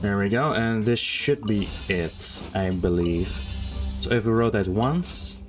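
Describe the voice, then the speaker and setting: Slow, casual male gaming streamer. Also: fairly low pitch. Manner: rambling, thinking aloud. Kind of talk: casual gameplay commentary. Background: faint game music.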